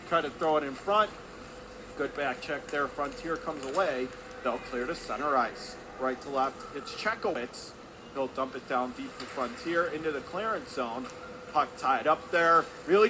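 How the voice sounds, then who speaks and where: High-voiced male hockey play-by-play announcer, noisy rink. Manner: clipped running phrases, rising excitedly with the action. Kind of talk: live radio sports commentary. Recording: clear background noise of an ice rink.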